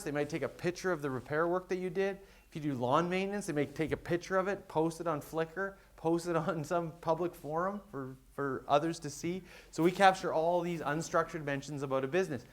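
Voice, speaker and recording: A man speaking at a conference, talking through a business presentation in a relaxed, conversational way. His voice sits a little higher than most men's, and he keeps the talk moving by tossing in quick everyday examples.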